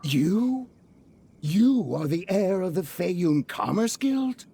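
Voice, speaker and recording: An actor playing a scheming merchant villain in a video game, indignant, spluttering and melodramatic. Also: male voice, high voice, sing-song, slow, dark tone, slight background noise, heavily acted. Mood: shocked. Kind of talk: video game character acting.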